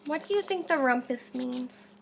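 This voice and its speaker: A woman reading a storybook aloud as a teacher does, deliberately and interactively. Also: high-pitched, gravelly, a little hiss or hum. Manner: deliberate, with questions to a listener.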